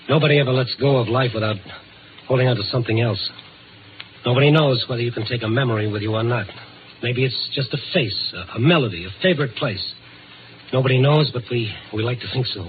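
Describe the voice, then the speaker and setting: A man's voice: medium pitch, brisk, background noise, lightly acted. Man acting hard-boiled reporter, tinny old radio. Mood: brisk, reflective. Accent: American. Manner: urgent, clipped, hard-boiled narration and dialogue. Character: dogged, compassionate male newspaper reporter on night shift. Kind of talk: 1950s American radio drama acting. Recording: tinny vintage radio recording with music.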